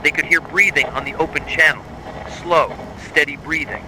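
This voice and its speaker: A man reading a scripted horror narration styled as an official emergency bulletin, in a grave, measured, authoritative way. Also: a little high, noisy background. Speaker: narrator of a mock emergency broadcast.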